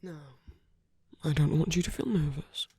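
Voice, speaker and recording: Casting: male actor as flirtatious villain for romantic ASMR audio drama; very breathy, mellow, gently teasing, close and intimate. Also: on the high side, moderate pace, dry recording. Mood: playful, reassuring. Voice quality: breathy, soft, half-whispered. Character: suave flirtatious male villain wooing a hero at a masquerade. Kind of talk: ASMR roleplay, intimate scripted monologue.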